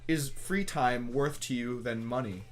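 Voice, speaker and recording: A young man co-hosting a podcast in casual banter, with an animated, joking manner and plenty of swearing. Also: medium pitch, unhurried. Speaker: podcast co-host.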